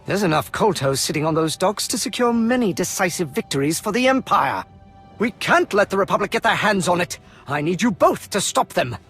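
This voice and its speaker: A man's voice: high voice, very animated, a little fast, a bit bright, breathy edge, full character voice. A man playing a stern, officious military colonel in a video game cutscene, with formal, clipped delivery. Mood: urgent. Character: brisk, officious male Imperial colonel, dutiful and stern. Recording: music behind the voice.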